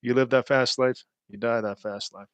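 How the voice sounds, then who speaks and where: A man hosts a loose chat at home, rambling, swearing freely and letting his sentences trail off. His pitch sits at an ordinary middle level. The sound is dry, with no room echo. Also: steady tone, moderate pace, clear, resonant tone. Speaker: podcast host. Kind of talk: casual chat.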